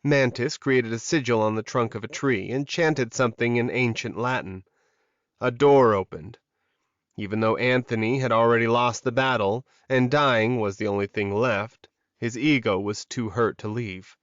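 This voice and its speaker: A man reads dramatic fiction as an audiobook narrator. His pitch is in the middle range. The recording is close and dry, with a slightly dull tone. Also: steady tone, moderate pace, no echo.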